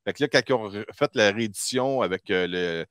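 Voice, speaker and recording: A man's voice: lowish voice, brisk, a bit bright, smooth, no echo, studio quality. A man with a Quebec French accent hosting a casual group chat, telling stories in a colloquial, chatty way. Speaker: talk show host. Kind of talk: casual group chat about nostalgia and toys.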